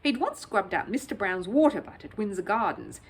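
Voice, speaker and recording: A woman narrating a children's story in an expressive, scripted read-aloud style. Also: medium pitch, normal speed, a little hiss or hum.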